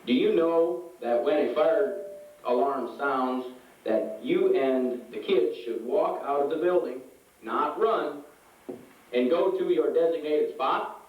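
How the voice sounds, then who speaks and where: A man's voice: a little high, takes it slowly. A man playing an earnest, helpful firefighter sidekick in a scripted children's safety show. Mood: earnest, instructive. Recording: echoey, dull, far from mic.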